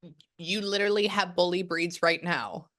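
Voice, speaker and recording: A woman's voice: fairly low pitch, fairly even intonation, fairly bright tone. A woman in her thirties, a psychic medium, giving a chatty, rambling reading over a video call. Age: 30s. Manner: chatty and rambling, quick bursts of surprise.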